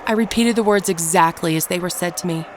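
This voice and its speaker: A woman playing a ruthless, unrepentant ageless murderess, narrating her tale in a measured, dramatic audio drama style. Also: on the low side, slight background noise, lightly acted. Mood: cold, gloating. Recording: narration over prominent background music. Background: music.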